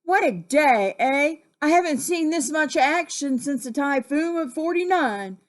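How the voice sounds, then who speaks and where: A woman's voice: high voice, lively intonation, a little slow, pitched above the performer's own voice. A woman voicing a grumbly but hearty old male sea captain from a storybook, with salty sailor talk.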